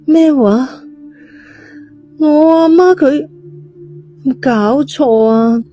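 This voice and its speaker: A female narrator playing a hot-tempered young woman in a suspense audiobook. She speaks slangy Hong Kong Cantonese in bluntly exclamatory bursts, pitched well up, with a mellow edge and faint music behind. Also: extremely slow. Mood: outraged disbelief.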